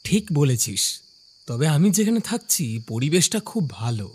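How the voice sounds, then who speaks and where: A man's voice: on the high side, sing-song, moderate pace, slight background noise. A man voicing a curious young office worker who narrates his own ghost story in a cartoon, with acted dialogue. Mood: chatty. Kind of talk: scripted first-person narration with acted dialogue.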